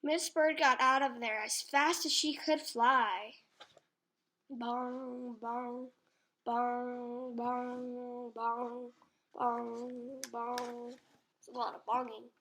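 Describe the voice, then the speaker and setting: Slow, high-voiced woman reading to children. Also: level tone, a bit bright. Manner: deliberate, addresses child listeners. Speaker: storybook reader. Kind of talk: slow read-aloud for young children. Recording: faint background noise.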